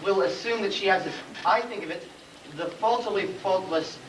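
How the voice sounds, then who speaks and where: A man playing a deferential, long-winded royal chancellor in a stage comedy, formal and halting as he picks his words. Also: high-pitched, natural voice. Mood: delicate, halting. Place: school stage, live play performance. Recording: distant, noisy, echoey room recording of play.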